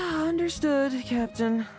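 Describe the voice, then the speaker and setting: A man's voice: extremely high pitch, takes it slowly, over music. An actor playing a young, guarded and weary male knight recruit in a video game, with a dry, wry manner. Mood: wry, reluctant.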